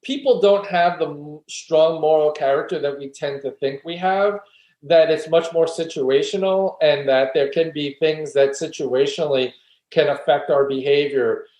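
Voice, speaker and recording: A man's voice: a little high, moderate pace, a little mellow. A man, a philosophy guest, earnestly explaining his argument step by step in an interview. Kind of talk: conversational interview, reflective argument. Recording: roomy echo.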